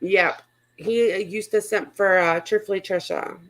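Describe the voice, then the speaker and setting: A woman's voice: medium pitch, steady tone, a little slow, fairly bright tone. A woman chatting casually as the host of a livestream panel, blunt and informal, swearing freely. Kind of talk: casual panel chat, gossip commentary.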